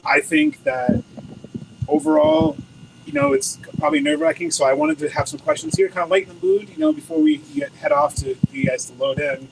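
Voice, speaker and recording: An American man interviewing a band at a bowling alley venue, upbeat and joking, with a loud voice. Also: very high-pitched, wildly swooping pitch, a little fast, creaky. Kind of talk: casual interview. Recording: noisy location recording.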